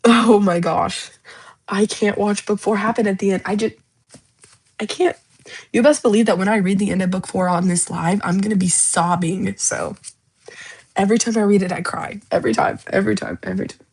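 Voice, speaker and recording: A woman's voice: mid-range voice, fairly even intonation, moderate pace, vocal fry, darkish tone, slightly rough. A young woman hosting a casual livestream, chatting about a book series in a gushing, emotional, rambling way that often repeats itself. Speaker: livestream host.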